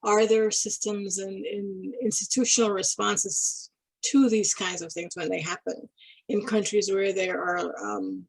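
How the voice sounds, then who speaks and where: A middle-aged woman from Guyana talks slowly through her thoughts, pausing and restarting often. Her voice is husky with a gravelly edge, and it is recorded close and dry. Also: mid-range voice, fairly even intonation, no room sound, low-quality recording. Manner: thoughtful, halting, many restarts and fillers. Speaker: interviewee. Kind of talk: casual reflective talk.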